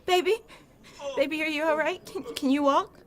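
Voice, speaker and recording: A woman's voice: high-pitched, moderate pace, natural voice. A woman playing a jealous, needy, volatile American girlfriend in an audio drama, very emotional. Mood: tearful, pleading. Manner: emotional, accusing or pleading.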